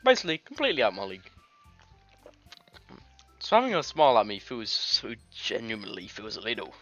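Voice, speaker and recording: A man's voice: on the low side, wildly swooping pitch, fairly quick, fairly bright tone, dull audio. A British man reading a game aloud in a jokey way, stumbling over words and adding crude asides. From Britain. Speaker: gaming video host. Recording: music behind the voice. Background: game music.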